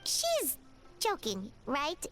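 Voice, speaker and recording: A woman voicing a tiny, childlike fairy companion in a video game, squeaky, inquisitive and calling herself by name. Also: wildly swooping pitch, extremely slow, a bit bright, exaggerated voice. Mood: puzzled. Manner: inquisitive, refers to self by name. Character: tiny chirpy fairy companion, childlike, talks in third person. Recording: game music playing behind.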